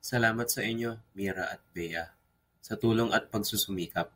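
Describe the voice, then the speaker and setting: A man's voice: lowish voice, moderate pace, a bit bright, husky. A man playing an earnest villager in an amateur radio drama. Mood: grateful.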